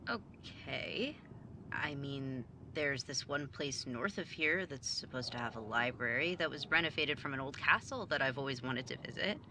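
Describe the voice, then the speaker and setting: Woman as low, expressive, blunt younger sibling. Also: normal speed, background noise. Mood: casual, bickering. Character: guarded, blunt but forgiving younger sibling. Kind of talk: naturalistic audio drama acting.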